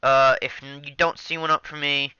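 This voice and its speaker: A man's voice: a little high, flat delivery, moderate pace, sharp tone, clear, resonant tone, no room sound, muffled recording. A young man casually talking over his gameplay into a headset mic, rambling with many ums and restarts. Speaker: gaming channel host.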